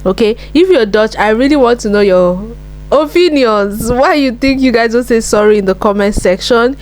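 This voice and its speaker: A woman's voice: on the high side, lively intonation, normal speed, darkish tone. A woman hosting a video reaction channel, talking casually and upbeat to her viewers. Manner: upbeat, chatty, repeats herself. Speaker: YouTube reaction host.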